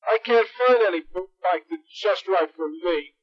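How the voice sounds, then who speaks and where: Man playing a whiny young boy, high and tearful, storybook voice, lo-fi recording. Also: unhurried. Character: fussy whiny young boy, upset over a bike. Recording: dull lo-fi recording.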